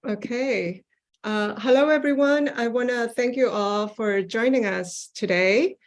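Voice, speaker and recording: A woman from Ecuador giving an earnest seminar talk about her art over a video call, pausing often with "uh" and "um". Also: fairly high pitch. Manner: earnest, with frequent "uh" and "um" fillers. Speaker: guest artist presenting her work. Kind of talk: online seminar presentation with slides.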